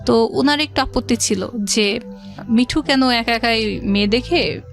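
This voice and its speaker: A middle-aged Bangladeshi woman tells a personal story as an interview guest. Her voice is on the high side, and she talks conversationally with English words mixed in. Faint music plays behind her. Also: a little slow, vocal fry. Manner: conversational, quotes past dialogue, mixes in English words. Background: background music.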